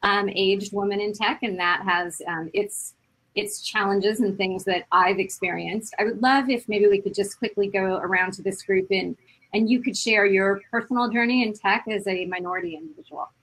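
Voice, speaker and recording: A woman in her fifties moderating a virtual panel over a video call. She sounds encouraging and upbeat. Her voice sits at a middle pitch, with frequent "um" pauses. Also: fairly even intonation, a bit bright, poor audio. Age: 50s.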